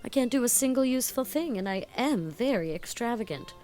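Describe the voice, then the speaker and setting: A woman's voice: fairly high pitch, animated, fairly quick, a little mellow, soft background music, close to an everyday voice. A woman voicing a chattering, fun-loving girl of eighteen in a dramatized audiobook, gushing and playfully dramatic. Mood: chatty. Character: giddy, flighty 18-year-old girl, fond of fun.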